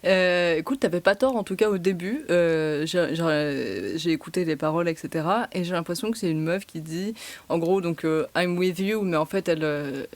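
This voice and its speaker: A woman's voice: on the low side, normal speed, dry recording. Laughing chatty woman host, dry sound. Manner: chatty, laughs often, fillers. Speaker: podcast host. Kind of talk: casual podcast interview.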